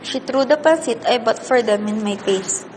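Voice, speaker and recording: A weary orphan girl played by a woman narrates a scripted radio drama. Her earnest voice is a bit high and sad. Reverb and faint noise sit around it. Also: moderate pace, darkish tone, natural voice. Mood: sad reflective.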